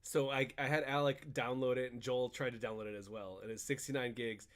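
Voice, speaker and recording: A man co-hosting a casual chat, recapping a video game in a rambling, animated storytelling way. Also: medium pitch, a little fast. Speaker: podcast co-host.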